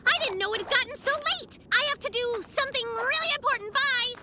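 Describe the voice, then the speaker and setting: A woman voicing a boastful, fibbing little boy bear cub in a children's cartoon, with excitable, dramatic delivery. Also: extremely high pitch, fast, very noisy. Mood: sheepish fibbing. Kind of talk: children's cartoon dub acting. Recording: music behind the voice.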